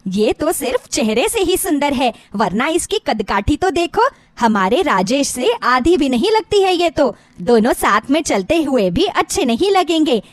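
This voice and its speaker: A woman's voice: high-pitched, very animated, a little slow, few pauses, dry recording, clean studio sound. A woman voicing mocking middle-aged neighbour gossips in a story, taunting with false sweetness. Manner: taunting, mock-sweet, exclaiming.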